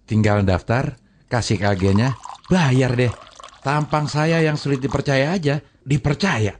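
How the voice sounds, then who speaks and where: A man's voice: medium pitch, measured pace, a little hiss or hum, close to an everyday voice. An actor playing a boastful, gullible small-town businessman in a comedic drama, with a joking, blustering manner. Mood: boastful, jolly. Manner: animated, joking, indignant bluster. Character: boastful, gullible, blustering village businessman chasing easy money. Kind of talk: comedic scripted drama dialogue.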